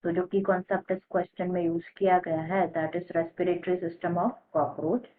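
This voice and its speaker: An Indian woman teacher explaining a lesson, repeating key terms slowly as if dictating. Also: on the low side, level tone, background noise. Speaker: biology teacher. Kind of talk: explanatory lesson in mixed Hindi and English. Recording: muffled phone-like narrow-band audio.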